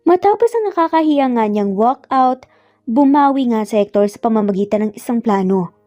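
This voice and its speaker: A woman's voice: a little high, expressive, normal speed, dark tone. A woman with a Filipino accent narrating a scripted dramatic story.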